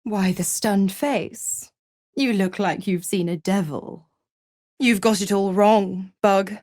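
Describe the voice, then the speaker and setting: A woman playing a seductive, scheming devil in a video game, theatrical and condescending, with a silky, mocking delivery that slips toward breathy whispers. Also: mid-range voice, slow pace, darkish tone, no room sound, clean studio sound, natural voice. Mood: smug, mocking. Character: seductive, scheming female devil who trades in pacts. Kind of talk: video game character acting.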